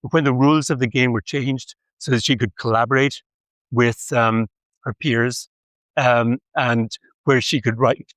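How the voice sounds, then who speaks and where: Older man giving a measured lecture. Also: mid-range voice, fairly bright tone, no room sound, low-quality recording. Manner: measured, with frequent pauses and ums. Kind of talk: academic lecture.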